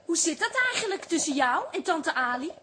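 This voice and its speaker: A woman's voice: very high-pitched, lively intonation, fairly quick, noisy background. A woman playing a frank, curious, independent grown daughter in a radio drama, direct and probing. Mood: probing, teasing. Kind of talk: Dutch radio drama acting.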